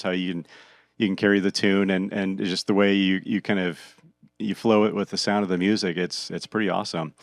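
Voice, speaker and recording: A man hosting a podcast interview, talking casually and haltingly, often repeating words as he searches for phrasing. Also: sits low, gravelly, no echo, clean studio sound. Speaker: podcast host.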